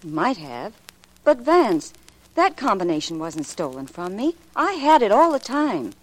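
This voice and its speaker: An actress playing an earnest, anxious secretary under questioning in a late 1940s radio mystery, confiding and emphatic. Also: female voice, medium pitch, very animated, a little slow, rough recording.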